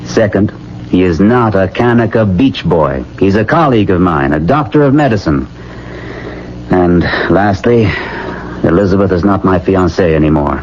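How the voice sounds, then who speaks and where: An actor playing a calm, frank middle-aged family doctor in a 1970s radio drama, measured and matter-of-fact. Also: male voice, sits low. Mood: firm, frank. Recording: muffled, faintly noisy vintage radio broadcast.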